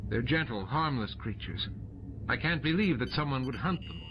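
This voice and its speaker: A man's voice: mid-range voice, expressive, moderate pace, muffled recording, noisy background, voice pushed up, natural voice. Man voicing a tense, earnest woman officer, band-limited. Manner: urgent, snappy.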